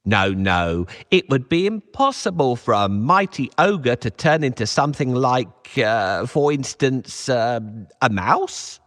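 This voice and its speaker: A man voicing a clever, scheming male cat who flatters and tricks an ogre in a storybook. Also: medium pitch, takes it slowly, few pauses, no echo, studio quality. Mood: flattering. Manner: sly, wheedling flattery.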